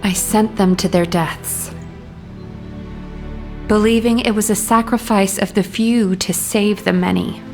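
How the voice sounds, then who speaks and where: Slow, guarded woman officer played by an actress. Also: medium pitch, steady tone, a little mellow, soft background music, natural voice. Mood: grave, guilty. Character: guarded, guilt-burdened female military operations officer. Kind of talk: video game cutscene acting.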